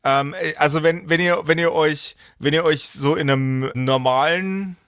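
A man's voice: a little high, fairly even intonation, moderate pace, a bit bright, smooth, dry recording. Casual male game master, dry close sound. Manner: colloquial, explains with blunt hypothetical examples. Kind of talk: casual tabletop character-creation discussion.

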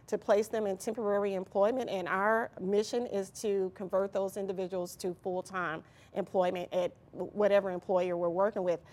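Female interview guest, medium pitch, conversational, somewhat halting as she finds her words. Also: fairly even intonation, a little mellow. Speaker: interview guest from an employment nonprofit.

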